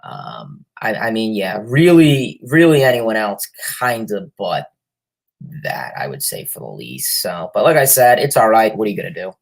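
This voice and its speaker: A man's voice: on the low side, wildly swooping pitch, normal speed, vocal fry. Rambling young man with a cold. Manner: rambling, heavy fillers and restarts. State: has a cold, sniffling. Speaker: livestream host. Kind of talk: casual sports chat reading viewer comments.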